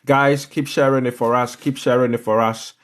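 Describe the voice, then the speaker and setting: Ghanaian guy walking people through steps on a livestream, mid pitch, repeats himself to make the point. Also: flat delivery, normal speed. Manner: instructive, repeats phrases for emphasis. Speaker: livestream host explaining visa applications. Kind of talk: live step-by-step tutorial talk.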